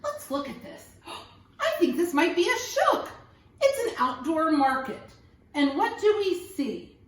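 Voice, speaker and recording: A woman teacher reading a picture book aloud to young children, expressive and pausing to question and point things out. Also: very high-pitched, far from the mic. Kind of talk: animated read-aloud storytelling for young children. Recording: roomy, echoey room sound.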